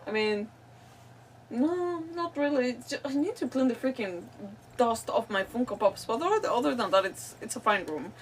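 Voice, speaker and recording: Woman game streamer, casual chat, slightly high voice, somewhat distant mic, faint noise. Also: animated, moderate pace.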